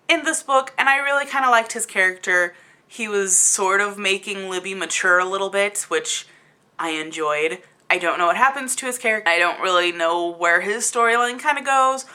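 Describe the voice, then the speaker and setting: A woman's voice: fairly high pitch, bright, forceful tone. A woman giving a casual, opinionated review to camera as a book vlogger, talking in an emphatic, exasperated, conversational way.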